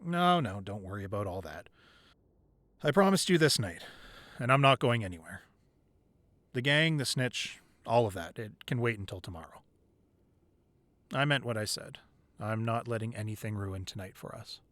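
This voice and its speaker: A man playing a weary but devoted, protective crime boss boyfriend in an intimate ASMR roleplay, speaking softly and close, at times breathy. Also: fairly low pitch, expressive, moderate pace, pauses often, no room sound. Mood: firm, reassuring. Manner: soft, intimate, drifting into breathy whispers.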